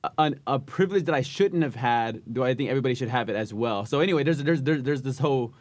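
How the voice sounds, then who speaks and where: A man hosting a podcast, talking in a halting way with many restarts as he searches for words. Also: medium pitch, expressive, dry recording. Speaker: podcast host. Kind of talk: casual podcast interview.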